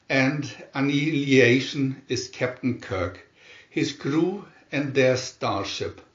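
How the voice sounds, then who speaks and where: Slow, echoey male storybook narrator. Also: mid-range voice. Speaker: narrator reading picture-story booklet. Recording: dull, echoey room recording.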